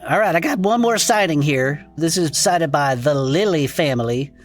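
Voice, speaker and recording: A performer playing an irreverent, excitable non-human cryptid podcaster in a comedic audio drama, talking in folksy slang. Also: medium pitch, normal speed, soft background music, lightly acted. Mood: playful skeptical. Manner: folksy slangy casual speech, chuckling. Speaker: co-host of a cryptid discussion show. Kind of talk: improvised comedic audio fiction banter.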